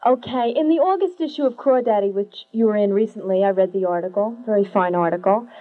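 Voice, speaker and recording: A woman interviewing a rock band, eager and quick to laugh. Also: mid-range voice. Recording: tinny phone-like lo-fi audio with hum.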